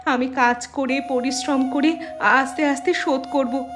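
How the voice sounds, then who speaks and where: Determined devoted elder sister. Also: female voice, high-pitched, fairly even intonation, measured pace. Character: devoted brave elder sister, young woman. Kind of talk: cartoon voice acting.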